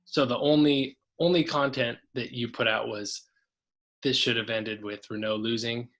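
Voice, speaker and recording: A man's voice: mid-range voice, fairly even intonation, normal speed, fairly bright tone. Rambling, enthusiastic man on a podcast. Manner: rambling, restarts sentences, enthusiastic. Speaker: podcast guest, coach. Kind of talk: casual podcast conversation.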